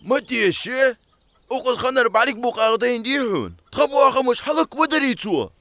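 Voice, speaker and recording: A man voicing an excitable male cartoon character with a creaky, bright voice. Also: extremely high pitch, quick pace, no echo, heavily acted. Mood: animated. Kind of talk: animated cartoon dubbing.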